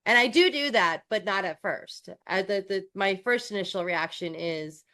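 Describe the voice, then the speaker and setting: A woman's voice: medium pitch, normal speed, sharp tone. A woman from New York co-hosting a coaching podcast, talking in a candid, self-deprecating way with frequent restarts. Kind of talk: casual podcast chat.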